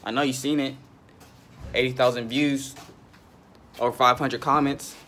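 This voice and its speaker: A man's voice: mid-range voice, steady tone, moderate pace, sharp tone. A young man chatting casually in a slangy, mock-indignant way, as in a parody video call. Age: young adult. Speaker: comedy video creator. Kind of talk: parody FaceTime chat, casual. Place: indoors at home. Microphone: phone at arm's length. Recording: noisy phone recording with room ambience.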